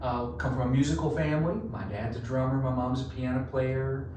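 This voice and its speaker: A man's voice: medium pitch, fairly quick. Southern guy w/ north carolina twang, folksy storyteller, sounds far from the mic in a really echoey room with noise behind. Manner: animated, folksy storyteller with a drawl. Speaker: marketing agency co-founder, guest. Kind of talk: conversational podcast interview. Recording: roomy echo, distant mic, noisy.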